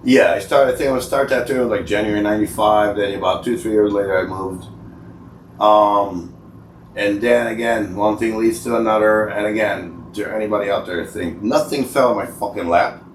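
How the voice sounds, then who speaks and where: A man's voice: fairly low pitch, fairly even intonation, moderate pace, far from the mic. A man in his forties from Israel chatting casually as a podcast guest, rambling and colloquial, swearing freely and acting out bits of dialogue. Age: 40s. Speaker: tattoo artist, podcast guest. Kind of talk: casual podcast chat, telling career stories. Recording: roomy echo, off-mic, faint background noise.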